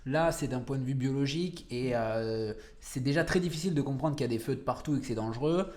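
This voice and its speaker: A man's voice: medium pitch, quick pace, a little mellow. Brief: young French researcher on a podcast, mid-range voice, mixes English into his French, sometimes searches for a word. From France. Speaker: cancer researcher, guest. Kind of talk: podcast interview.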